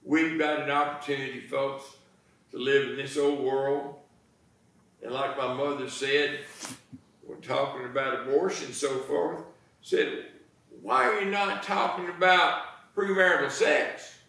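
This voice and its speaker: An American man in his sixties preaching a sermon in a folksy, deliberate manner, pausing between phrases. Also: medium pitch, steady tone, pushed and bright. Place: church sanctuary, indoors. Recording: very echoey church, distant microphone.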